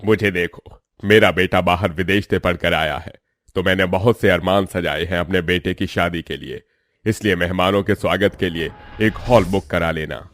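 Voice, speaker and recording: Man as pompous middle-aged groom's father, demanding, deep register, family story. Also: fairly even intonation, normal speed, a bit bright, no echo, clean studio sound. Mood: self-important.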